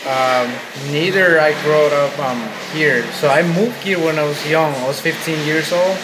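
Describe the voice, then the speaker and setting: A fairly high-voiced young man from Guatemala talks haltingly, restarting his sentences as he answers questions in a casual chat. He is recorded in a restaurant, with plain ambient noise behind him. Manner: hesitant, restarts sentences often. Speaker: restaurant co-owner. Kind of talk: casual radio interview.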